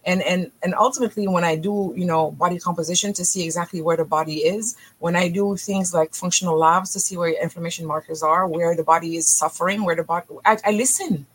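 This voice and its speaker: A middle-aged woman from Haiti, a physician talking as a podcast guest in energetic, emphatic bursts that often restart mid-phrase. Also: on the low side, quick pace, hardly any pauses, breathy edge, rough recording. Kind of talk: podcast interview.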